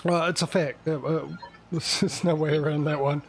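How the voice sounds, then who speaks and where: A man's voice: fairly high pitch, fairly even intonation, normal speed, slight background noise. A man chatting casually as a livestream host, rambling and hesitant, often correcting himself as he goes.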